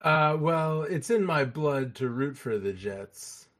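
A man's voice: a little high, lively intonation, slow pace, fairly bright tone. A man co-hosting a casual chat, agreeable and a little tentative, often chiming in briefly.

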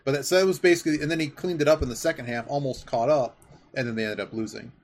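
A man's voice: mid-range voice, steady tone, quick pace. Enthusiastic, rambling man over background noise. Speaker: podcast host. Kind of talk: casual sports chat. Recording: clearly audible background noise.

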